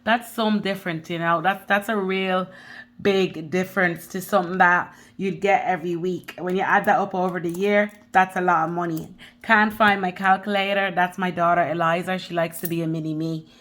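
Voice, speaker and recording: A woman in her thirties with a Dublin accent, talking to her viewers in a loose, rambling way as she runs through her budget. Her voice sits a bit lower than most women's. She is from the Caribbean but sounds fully local. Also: fairly even intonation, normal speed, a bit bright. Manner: chatty and rambling, addresses viewers directly. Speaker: budgeting vlogger. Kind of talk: casual chat to camera.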